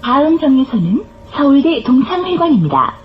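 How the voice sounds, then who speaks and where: Woman, high voice, animated pitch, scripted bus announcement, formal, careful enunciation, clear bus noise behind. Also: normal speed, dull audio. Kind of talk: scripted public transit announcement. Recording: clear vehicle noise throughout. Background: bus interior noise.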